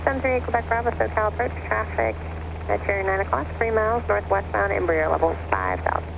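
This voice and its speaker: An air traffic controller speaks in clipped standard phraseology at a fast clip. The voice has noticeable vocal fry and comes through a muffled radio channel. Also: medium pitch, animated. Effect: aircraft radio. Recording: muffled noisy aviation radio with aircraft noise.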